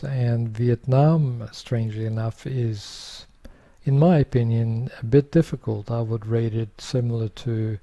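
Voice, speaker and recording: A man's voice: mid-range voice, mellow. An older man from Norway talking casually to camera, rambling with many fillers and sharing opinions. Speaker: vlogger.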